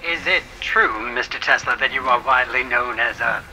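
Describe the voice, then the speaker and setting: A man's voice: medium pitch, moderate pace, creaky, bright, forceful tone, slight background noise, low-quality recording. A man playing a stern, scornful government attorney in a courtroom audio drama, cross-examining in a pompous, clipped, theatrical manner. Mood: pressing.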